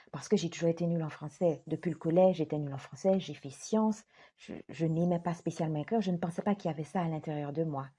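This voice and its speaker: Soft-toned woman life coach. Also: deep voice, husky, muffled recording. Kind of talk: direct-to-camera talk.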